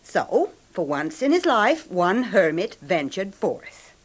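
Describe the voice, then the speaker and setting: An actress playing a scornful, cutting younger wife in a 1970s radio drama, with theatrical, mocking emphasis. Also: female voice, medium pitch, sing-song, takes it slowly. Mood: sarcastic. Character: bitter, sardonic younger wife of rich recluse.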